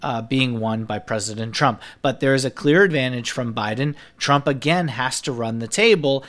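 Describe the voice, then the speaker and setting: A man's voice: mid-range voice, normal speed, a bit bright. Man explaining electoral maps. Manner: fluent explainer, rattles off figures with occasional uh fillers. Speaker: senior political editor and correspondent. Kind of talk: political analysis on a podcast.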